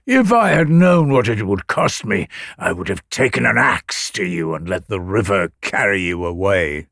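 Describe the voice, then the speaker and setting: A man's voice: on the low side, sing-song, moderate pace, creaky, a bit bright, no room sound, clean studio sound, voice pushed down, heavily acted. Man voicing a brooding, sorrowful doomed hero. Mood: bitter regret. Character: doomed wild-born male hero, brooding and bitter.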